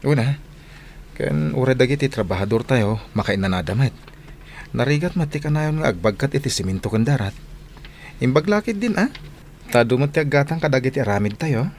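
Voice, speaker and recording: A man's voice: mid-range voice, expressive, a little fast, a little mellow, a little hiss or hum, lightly acted. Man acting a stubborn, grumbling Filipino husband. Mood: businesslike. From Philippines. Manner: dismissive, grumbling, exclaims. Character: stubborn money-minded husband and father running a hollow block business. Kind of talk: Philippine radio drama acting.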